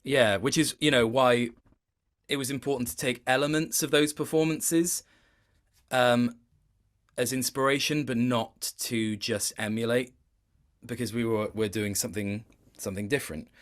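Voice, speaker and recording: A man's voice: medium pitch, steady tone, moderate pace. A man speaking casually as an interviewed voice actor, thoughtful and hesitant, often circling back to rephrase what he is saying.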